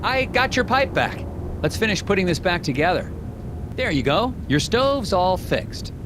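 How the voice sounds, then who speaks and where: An actor playing an earnest, puzzled FBI agent in an animated game, hesitant yet matter-of-fact. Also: male voice, sits high, measured pace, noisy background. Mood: polite.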